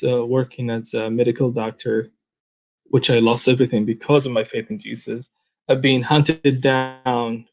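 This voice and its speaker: Saudi guy w arabic accent, earnest, lots of uh and um, stops and starts, middle pitch. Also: darkish tone, poor audio. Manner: earnest, many fillers, slow and halting with sudden quick stretches. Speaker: interview guest, former doctor turned ministry worker. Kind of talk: personal testimony in an interview.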